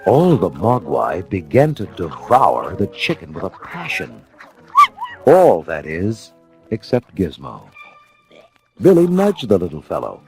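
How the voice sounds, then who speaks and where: A man's voice: low voice, wildly swooping pitch, measured pace, music in the background. A man narrating a children's storybook in a dramatic style, as part of an audio dramatization.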